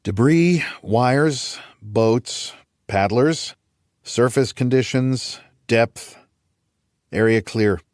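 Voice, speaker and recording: A man's voice: mid-range voice, drawn-out words, a little mellow, no room sound, studio quality, voice pushed up. A man voicing an eager, joking younger male pilot in audiobook dialogue. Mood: focused, playful.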